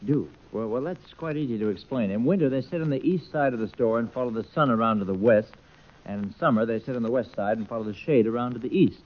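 A man's voice: medium pitch, fast talker. A man hosting a vintage 1940s-50s radio variety show, delivering scripted, folksy comic patter. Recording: dull old radio broadcast recording.

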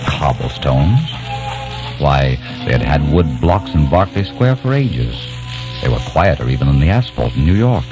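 A man's voice: a bass voice, sing-song, normal speed, over music. An actor playing a young American man obsessed with the past, in an old radio drama, narrating earnestly. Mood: wondering. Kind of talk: 1940s radio drama acting. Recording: dull old radio recording.

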